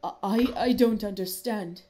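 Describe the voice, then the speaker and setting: A woman's voice: medium pitch, a little slow, mellow, slight background noise, natural voice. A woman voicing a frightened, guilty female zebra who pleads under questioning in a dramatic fan fiction reading. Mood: fearful, pleading. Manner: stammering, pleading.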